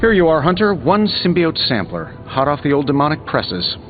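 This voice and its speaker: Wisecracking genius hero, glib, sarcastic, protective, video game dialogue, long reverb, music behind. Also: male voice, on the high side, very animated, moderate pace, muffled recording. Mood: playful teasing. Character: wisecracking genius superhero inventor, sardonic but protective.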